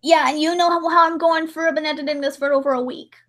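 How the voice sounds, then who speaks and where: A woman's voice: high-pitched, fairly even intonation, fast talker, a bit bright. A woman chatting casually on a livestream in a playful, joking way. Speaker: livestream host editing a dramatic reading. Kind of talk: casual chat.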